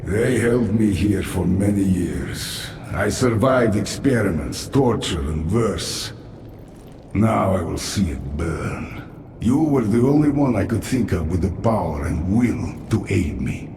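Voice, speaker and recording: An actor playing a bitter, vengeful infested soldier in a video game, with a grim, deliberate delivery and gravelly voice. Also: male voice, deep voice, expressive, a little mellow, slight background noise, exaggerated voice. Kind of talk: science fiction video game acting. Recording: music behind.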